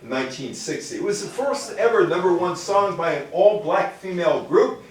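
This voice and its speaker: A man's voice: sits high, animated, normal speed, a little hiss or hum. An older man with an American accent giving a conversational public talk, reading from notes and quizzing his listeners with asides. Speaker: lecturer. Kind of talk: public talk to a live audience, part read from notes, with questions to listeners. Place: indoor room with live audience. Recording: very echoey room, distant microphone.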